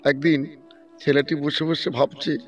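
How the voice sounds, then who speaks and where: A man's voice: fairly high pitch, level tone, a little slow, far from the mic, faint music behind. A man narrating a village story in a storytelling style.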